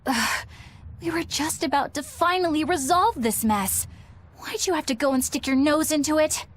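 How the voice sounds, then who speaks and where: A woman's voice: high-pitched, moderate pace, lightly acted. A woman playing an earnest, conscientious young female exorcist in a video game. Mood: exasperated.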